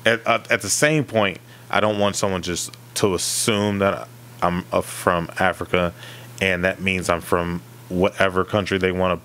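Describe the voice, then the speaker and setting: A man's voice: deep voice, little pitch movement, normal speed, fairly bright tone, slight background noise. A man co-hosting a podcast, talking casually and feeling his way through a point. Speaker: podcast co-host. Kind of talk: casual discussion.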